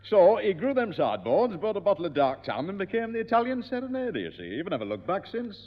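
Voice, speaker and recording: Jovial fast-talking friend, lively pitch, old radio. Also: male voice. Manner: showy, brisk explaining. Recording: echoey old radio studio recording, dull.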